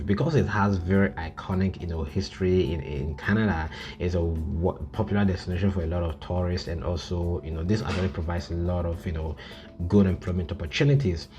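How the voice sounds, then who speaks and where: A man presenting an informal informational list video, speaking haltingly with frequent filler phrases. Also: deep voice, a little mellow, muffled recording. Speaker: YouTube presenter.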